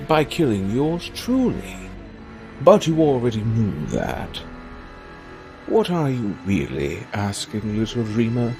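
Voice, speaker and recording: A man's voice: medium pitch, very animated, a little mellow, faint music behind. An actor playing a sly, theatrical immortal man in an audio drama, drawling and teasing. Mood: sly, ominous. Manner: languid, teasing, drawn-out.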